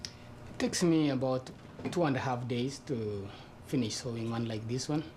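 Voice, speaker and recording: A man acts as a devoted sidekick in a comedy documentary. His voice sits at a middle pitch, and he answers with reverent, literal earnestness. A faint noise sits behind him. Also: moderate pace, darkish tone, lightly acted. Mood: earnest. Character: devoted, earnest male sidekick who sews wristbands. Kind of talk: mockumentary interview.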